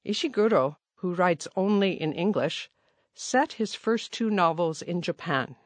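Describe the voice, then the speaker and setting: A woman reading a listening-test passage aloud in a slow, careful, even scripted narration. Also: lowish voice, no echo.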